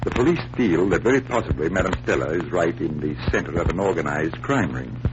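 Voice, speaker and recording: A confident magician detective from a 1940s radio drama. His voice is low and swings theatrically as he gives deliberate instructions. The recording is dull and noisy. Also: animated. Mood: businesslike. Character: confident stage magician and amateur detective, a grown man.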